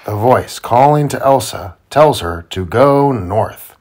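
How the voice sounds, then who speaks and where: A man reading a children's storybook aloud to his child in simple, deliberate phrasing. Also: mid-range voice, lively intonation, darkish tone. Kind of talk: children's read-aloud narration.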